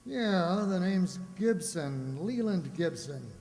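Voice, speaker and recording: A man's voice: sits high, expressive, takes it slowly, creaky, a little mellow, full character voice. A man playing a sardonic, exasperated police lieutenant in an old-time radio drama style, in a very reverberant recording. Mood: businesslike. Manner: sardonic, grumbling, officious. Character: exasperated, sarcastic male police homicide lieutenant. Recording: very reverberant room or hall.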